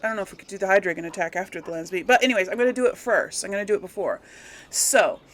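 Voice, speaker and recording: A woman's voice: mid-range voice, very fast, slight background noise. A woman giving casual gameplay commentary, rambling and thinking aloud as she goes. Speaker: let's play host.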